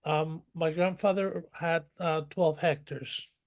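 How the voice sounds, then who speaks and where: A man's voice: on the high side, steady tone, takes it slowly. A man from northern Mexico, a guest telling his family history in a rambling, detailed way with many restarts. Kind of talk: conversational interview.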